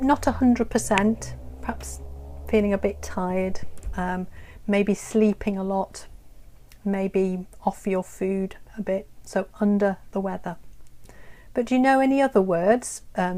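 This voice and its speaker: A woman teaching English in a live online lesson, explaining slowly and patiently with many pauses and ums. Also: mid-range voice, mellow. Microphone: phone or computer on a live stream.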